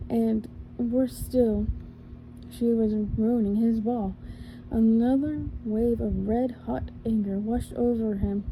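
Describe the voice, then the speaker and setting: A woman reading a fairy tale aloud in a gentle ASMR style, now and then stumbling and correcting herself. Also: on the high side, slight background noise, poor audio. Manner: gentle, with small slips and self-corrections. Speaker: ASMR storyteller. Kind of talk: soft ASMR story reading.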